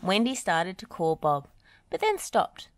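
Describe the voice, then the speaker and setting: Children's audiobook reader: woman, slightly low pitch, expressive and lively, deliberate storytelling. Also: no echo, clean studio sound.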